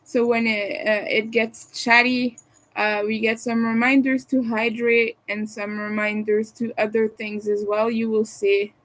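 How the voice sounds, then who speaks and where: A French Canadian woman from Montreal chatting casually on a crochet livestream, answering her chat in a soft, hesitant way with many fillers and small chuckles. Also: a little high, flat delivery, unhurried, rough recording. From Montreal, Quebec, Canada. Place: her own room at home.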